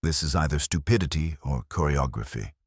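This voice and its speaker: An imperious older detective acted out by a male narrator in an audiobook mystery. He speaks in a very deep, husky voice, formal and measured, as if every sentence were a ruling. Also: no room sound, clean studio sound. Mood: coolly probing. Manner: formal, measured, pronouncing judgments.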